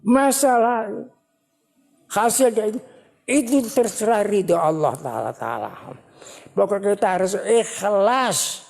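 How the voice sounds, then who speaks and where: A woman religious teacher giving a sermon at a ceremony, speaking slowly and repeating phrases for emphasis. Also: mid-range voice, animated, creaky, a little mellow. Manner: slow, repetitive, emphatic preaching. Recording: long hall reverb.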